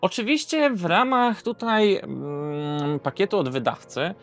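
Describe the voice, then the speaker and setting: A man's voice: sits high, expressive, unhurried, fairly bright tone, soft background music. A man hosting an unscripted board game video, hesitant and often correcting himself. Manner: hesitant, self-correcting, throat-clearing. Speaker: board game reviewer and host.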